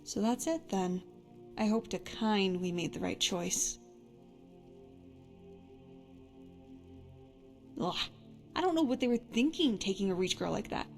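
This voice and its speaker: A woman's voice: medium pitch, animated, normal speed, a little mellow, soft background music, lightly acted. A woman playing a tough, sardonic but caring adventuring companion in a fantasy video game. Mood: wry, weary. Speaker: voiced follower in a game mod.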